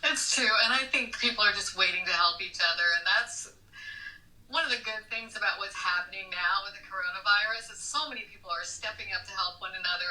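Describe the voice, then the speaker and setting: A woman with a strikingly bright, forward voice talks as an interview guest. Her pitch sits in the middle for a woman, and she explains things in a chatty, conversational way. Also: poor audio. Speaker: travel writer guest on a show.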